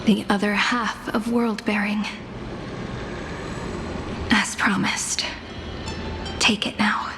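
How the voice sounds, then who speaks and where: A woman voicing a gentle, mysterious woman guide in a game cutscene, speaking softly and near a whisper. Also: medium pitch, flat delivery, slow pace, long pauses, noisy background. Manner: soft, breathy, near-whispered. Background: game music.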